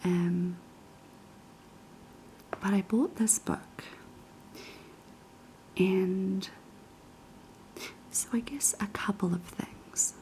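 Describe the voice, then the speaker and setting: A young woman with a New Zealand accent whispering unhurried ASMR chat in a kitchen, her voice raspy and hoarse after being sick. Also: fairly low pitch, rough recording. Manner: soft, unhurried whispering with many ums. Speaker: ASMR creator. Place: kitchen of a quiet flat.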